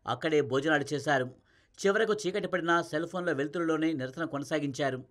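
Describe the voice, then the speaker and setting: Brisk, high-pitched male news narrator. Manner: brisk, dramatic news delivery. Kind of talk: scripted news voice-over.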